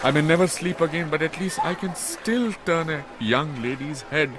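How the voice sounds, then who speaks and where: An actor playing a shaken, vain, middle-aged comic sidekick in a radio drama, fussy and plaintive. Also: male voice, fairly high pitch, normal speed, crowd noise, close to an everyday voice. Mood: shaken, wry. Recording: loud outdoor city ambience.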